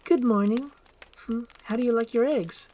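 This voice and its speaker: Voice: mid-range voice, normal speed, mellow, voice pushed up, close to an everyday voice. A performer voicing a sweet, courteous young princess in an acted screenplay reading. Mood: cheerful, apologetic.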